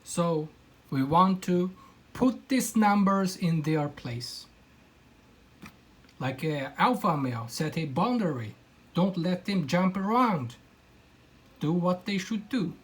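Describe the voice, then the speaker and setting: Brief: young man, Kazakh native, hesitant non-native English, unhurried and slightly high. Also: a little mellow. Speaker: hobbyist electronics presenter. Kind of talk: hands-on electronics demo.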